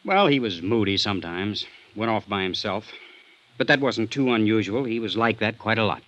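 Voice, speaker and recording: A man's voice: on the low side, a bit bright, close to an everyday voice. An actor playing a defensive, earnest young man under suspicion in a vintage radio drama. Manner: defensive, earnest, quick to bristle. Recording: dull, band-limited old radio recording.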